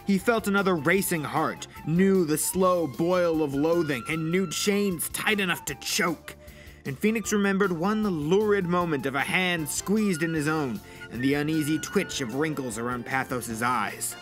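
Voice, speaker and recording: Casting: male narrator for dramatic audio fiction, slightly high voice, clear music underneath. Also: a little slow, fairly bright tone.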